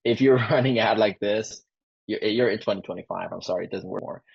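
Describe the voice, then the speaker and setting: A man giving an informal sales pitch on a live call, peppering his explanations with "like". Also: brisk, creaky, slightly rough. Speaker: sales closer for a marketing agency. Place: online sales call with screen sharing. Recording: dull lo-fi call audio.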